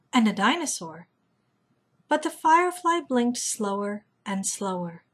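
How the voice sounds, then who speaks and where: Middle aged lady reading a kids book, gentle n slow, bit husky. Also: a little high. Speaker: storytime host. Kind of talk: children's read-aloud narration.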